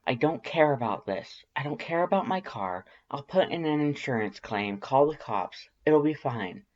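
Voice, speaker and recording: A man's voice: medium pitch, animated, normal speed, a little mellow, lo-fi sound, pitched above the performer's own voice, lightly acted. A man voicing a worried human who pleads firmly and urgently in a story reading. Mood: urgent pleading. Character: worried, firm human pleading with a monster friend.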